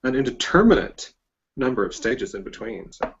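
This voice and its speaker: A man's voice: mid-range voice, big pitch swings, moderate pace, creaky, muffled recording. A man hosting a casual interview, talking conversationally and putting questions to his guest. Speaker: interviewer, host.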